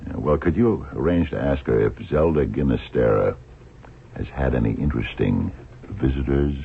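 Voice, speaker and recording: An actor playing a shrewd, dogged blind investigator in a radio drama, gravelly and husky, probing with hesitant, murmured questions. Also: male voice, very deep voice, moderate pace, noisy background. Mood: musing, intent. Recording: thin, phone-like old radio recording.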